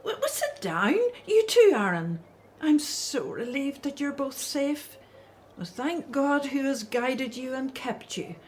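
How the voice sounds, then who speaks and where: A woman's voice: high voice, sing-song, slow, a little mellow, a little hiss or hum, exaggerated voice. A woman playing an anxious, loving elderly mother and grandmother in a radio drama. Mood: overjoyed, relieved.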